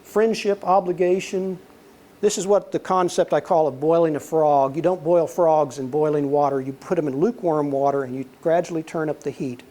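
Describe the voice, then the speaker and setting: An older man speaks with a soothing voice that sits a little high for a man. He lectures in a relaxed, conversational way, weaving in anecdotes and acting out dialogue. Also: normal speed. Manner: relaxed storyteller, acts out quoted dialogue. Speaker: guest lecturer, former intelligence officer.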